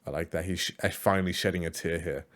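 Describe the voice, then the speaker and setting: A man's voice: low-pitched, steady tone, quick pace, a bit bright. A man giving casual, rambling reaction commentary on other creators' videos, with many fillers and restarts.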